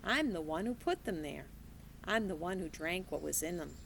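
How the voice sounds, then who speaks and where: A woman reading aloud as a wise, gentle old woman, speaking slowly in a soothing, folksy way. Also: fairly low pitch, expressive, creaky, slight background noise, poor audio.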